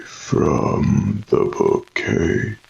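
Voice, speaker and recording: A man playing a menacing old vampire in a radio horror drama, with a gravelly rasp and drawn-out delivery. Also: darkish tone, highs cut off.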